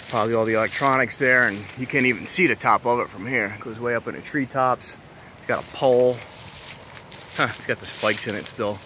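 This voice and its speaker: A man's voice: mid-range voice, slight background noise. A man narrating a casual walking tour, marveling aloud and talking to viewers as he moves through an overgrown abandoned site outdoors. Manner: relaxed, marvels aloud, talks to viewers as he walks. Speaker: urban explorer vlogger.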